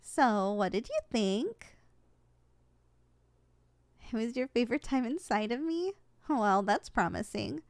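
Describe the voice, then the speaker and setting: A woman's voice: on the high side, expressive, slow, pauses often, no echo. A woman voicing a young slime succubus in training, affectionate and competitive, in a sweet, coaxing ASMR roleplay style that is easily flustered.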